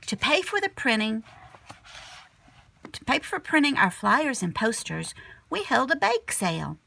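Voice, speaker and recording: Slow, slightly husky woman reading a storybook. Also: a little high. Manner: animated storyteller, drops to hushed whispery tones. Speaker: second grade teacher reading aloud. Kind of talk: expressive children's picture book read-aloud with asides.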